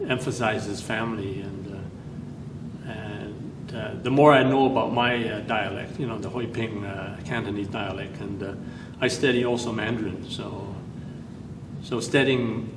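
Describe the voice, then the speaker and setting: A man from Canada talks through his family's past in a halting way, filling pauses with "uh" and restarting phrases. His voice sits a little low and sounds far from the mic. The room echoes, and a steady noise runs behind him. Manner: hesitant, many fillers and restarts. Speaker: interviewee. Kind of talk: personal history interview.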